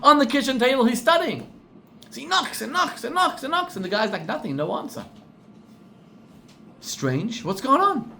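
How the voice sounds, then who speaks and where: Animated South African man telling a story. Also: very high-pitched, moderate pace, creaky, pauses often, slight background noise. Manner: animated storyteller, acts out quoted voices, repeats for emphasis. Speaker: rabbi. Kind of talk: sermon storytelling.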